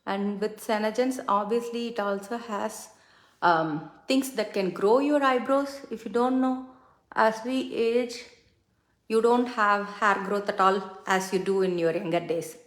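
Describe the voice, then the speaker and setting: A woman's voice: medium pitch, unhurried. A middle-aged woman whose first language is Tamil, chatting casually with many fillers as she does her makeup on a livestream. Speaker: makeup consultant and livestream host. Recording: echoey room.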